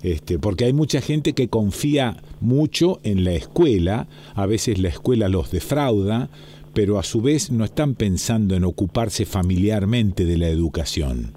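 A man's voice: lowish voice, animated, unhurried, slight background noise. A man in his seventies from Rosario with a Rosario Argentine accent, interviewing a guest and murmuring attentive replies. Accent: Rosario Argentine Spanish. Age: 70s. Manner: attentive, constant soft backchannels. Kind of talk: conversational interview.

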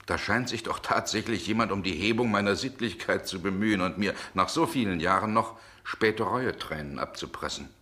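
An actor playing a brooding, world-weary middle-aged gentleman in a 1960s German radio drama, with genteel, measured diction. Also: lowish voice. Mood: troubled.

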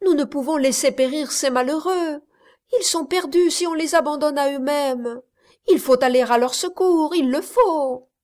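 A woman's voice: sits high, expressive, extremely slow, hardly any pauses, warm tone, voice pushed up, full character voice. A woman voicing an ardent young lady passenger in a storybook reading, with urgent, pleading exclamations raised well above her narrating voice.

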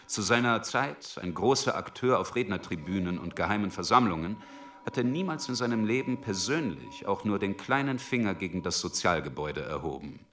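A man's voice: sits low, a bit bright, full character voice. A man reading scripted narration for a radio drama.

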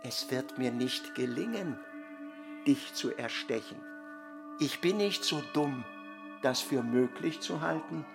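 A man's voice: mid-range voice, lively intonation, slow pace, music in the background. A man acting a fervent, vengeful male disciple in a radio drama, whispering bitterly with menacing intensity. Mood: bitterly resolved. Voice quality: whispery, breathy hush.

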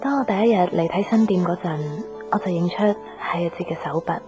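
An actress playing a gentle young career woman in love in a radio drama, speaking Hong Kong Cantonese. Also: fairly low pitch, brisk, natural voice. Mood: wistful. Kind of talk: radio drama narration and dialogue. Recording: music behind the voice.

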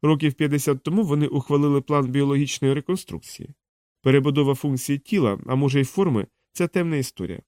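Thoughtful male physicist for audiobook, read by a man, measured explainer, dry close recording. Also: medium pitch, dark tone, no echo, close to an everyday voice. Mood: explaining.

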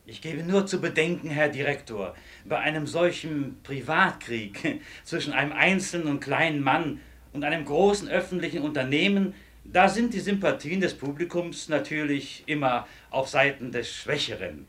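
A man plays a careful, deferential official in a radio drama. His voice is on the high side, and he reasons formally and tactfully. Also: moderate pace, fairly bright tone, natural voice. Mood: cautious, reasoning. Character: tactful, cautious company official advising his boss. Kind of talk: German radio play acting.

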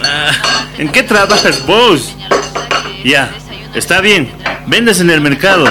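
A man's voice: on the high side, animated, slow pace. A man playing a demanding, dismissive machista husband in a radio drama, with a Bolivian accent and a complaining manner. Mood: irritated, dismissive. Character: grumbling, demanding machista working-class husband. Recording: music and clinking dishes behind.

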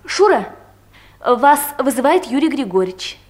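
A woman playing a brisk, pert young secretary in a radio comedy drama, businesslike and slightly arch. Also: high voice, very animated, slight background noise. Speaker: actor in an audio play.

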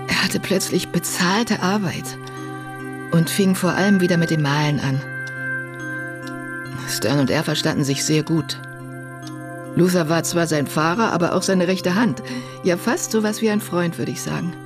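A woman's voice: on the low side, a little slow, pauses often, over music, close to an everyday voice. An actress playing a sombre, guarded sister recounting her brother's life in a radio drama. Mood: reflective. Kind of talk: German radio drama acting.

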